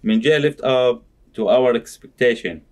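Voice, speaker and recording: A man's voice: medium pitch, moderate pace, darkish tone, close to an everyday voice. A man voicing an easygoing male friend in an amateur puppet skit, with careful, learner-like scripted English. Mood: questioning. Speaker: amateur puppet show performer.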